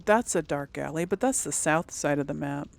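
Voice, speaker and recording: Low-pitched woman chatting through a game. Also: moderate pace, vocal fry. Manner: chatty, thinking aloud. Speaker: gaming video host. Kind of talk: casual let's play commentary.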